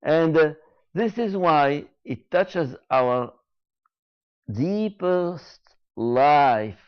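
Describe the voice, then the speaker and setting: A man giving a reflective spoken lecture, slow and deliberate, repeating key phrases with frequent hesitations. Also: fairly high pitch, lively intonation, clear, resonant tone, highs cut off. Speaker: speaker giving a talk.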